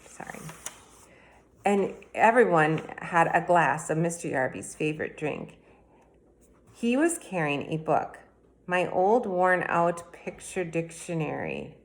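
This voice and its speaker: A woman reading a children's book aloud in a plain, even storytelling manner. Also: deep voice, takes it slowly. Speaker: reader.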